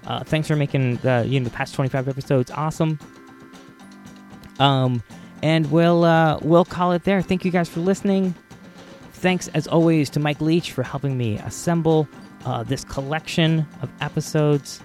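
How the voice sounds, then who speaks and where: A man hosting a podcast, talking casually to his listeners in a halting, conversational way with repeated words and self-interruptions. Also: on the high side, fairly even intonation, a little mellow, soft background music. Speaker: podcast host.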